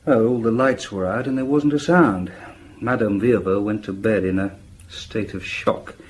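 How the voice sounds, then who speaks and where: An actor playing a diligent police inspector in a radio drama, reporting matter-of-factly in a conversational way. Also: male voice, on the low side, close to an everyday voice. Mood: considered. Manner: hesitant pauses, matter-of-fact reporting. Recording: faint background noise.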